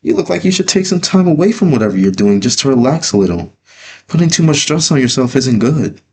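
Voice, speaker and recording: A man voicing a doting, teasing elderly grandmother in a gushing, gently chiding way for a dramatic storybook reading. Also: mid-range voice, sing-song, brisk, a little hiss or hum, voice pushed up. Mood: gently advising. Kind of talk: dramatic storybook read-through.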